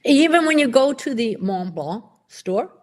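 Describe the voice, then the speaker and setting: A woman's voice: fairly high pitch, lively intonation, unhurried. A woman co-hosting a casual livestream chat over a video call, talkative and self-deprecating, often laughing. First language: English. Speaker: co-host of a pen livestream.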